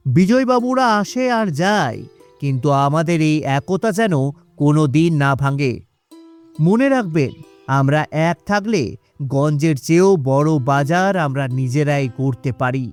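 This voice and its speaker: A man's voice: a little high, expressive, unhurried, soft background music. A man playing a brave, principled young village leader in a cartoon, firm and rousing in his speech. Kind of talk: cartoon acting.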